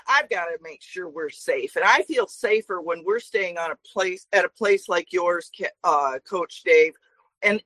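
A woman in her forties speaking as a guest, earnest and talkative with many fillers. Her voice is bright and forward, recorded dry with no room sound. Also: mid-range voice, dry recording, poor audio. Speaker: guest, traveling minister. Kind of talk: interview guest talk.

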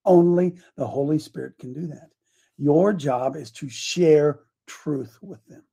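A man's voice: on the high side, a little slow, creaky, mellow, breathy edge. A man in his seventies preaching a casual, folksy sermon, repeating his points with emphasis. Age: 70s.

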